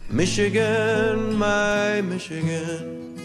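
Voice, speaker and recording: Slow-singing woman over loud guitar. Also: medium pitch. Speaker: singer. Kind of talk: slow singing of a patriotic state anthem. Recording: song with loud guitar accompaniment. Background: plucked guitar music.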